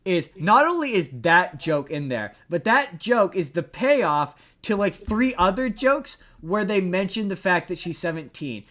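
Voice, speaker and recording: Excitable, higher-pitched rambling male podcaster. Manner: excitable, rambling, stammering, emphatic; casual swearing. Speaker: podcast co-host. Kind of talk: casual pop-culture chat and ranting.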